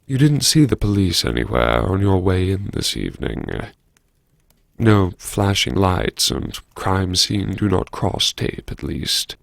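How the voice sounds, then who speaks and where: A man performing an audiobook monologue as a wry, haunted university vice-chancellor, brooding and talking to himself in halting phrases. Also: deep voice, creaky, dry recording, clean studio sound, exaggerated voice. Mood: wry, musing. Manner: brooding, wry, halting self-address with sighs. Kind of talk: dramatic first-person interior monologue.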